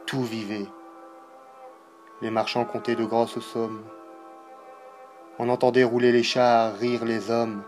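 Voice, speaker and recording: A man giving a solemn, dramatic poetry recitation, declaiming in measured phrases with pauses. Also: medium pitch, level tone, music in the background.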